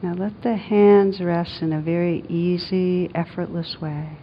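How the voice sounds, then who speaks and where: A woman meditation teacher leading a guided meditation in a gentle, soothing, unhurried manner with long pauses. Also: fairly even intonation. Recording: dull lo-fi sound, rumbling background noise.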